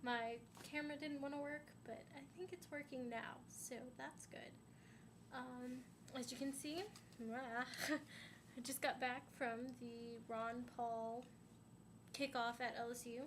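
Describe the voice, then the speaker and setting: A woman's voice: high-pitched, level tone, slow, background noise. Rambling young woman, stuffy-nosed. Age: young adult. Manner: rambling, many "um"s and "like"s. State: stuffy from a sinus infection, sniffling. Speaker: vlogger. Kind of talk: casual chat to camera.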